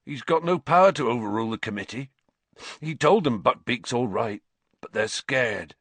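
Storybook gentle giant read by a man: rustic, halting, heavy-hearted, expressive pitch, close and dry. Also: husky, no echo. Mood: helpless, resigned. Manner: halting, rustic dialect phrasing. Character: huge, shaggy, gentle, grieving rustic man.

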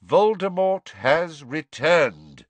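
A calm, commanding elderly wizard who states each point in a cool, measured, unbending way, as a male narrator voices him. The voice sits a little raised and lively in pitch. It is recorded close and dry for a storybook. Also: no echo, exaggerated voice. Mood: firm.

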